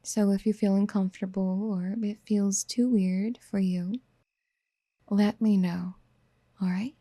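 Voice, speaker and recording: A woman playing a doting, obsessive would-be mother in a soft-spoken ASMR roleplay, breathy and often near a whisper, tenderly coaxing. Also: mid-range voice, steady tone, unhurried, dry recording, clean studio sound, natural voice. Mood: gentle, hesitant. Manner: tender, coaxing, hesitant pet-name murmuring. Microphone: close microphone in a dry, quiet space.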